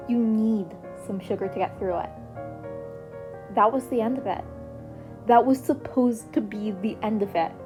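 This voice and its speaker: A woman narrating a scripted story expressively, as a reader of fan fiction. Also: medium pitch. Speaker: podfic narrator.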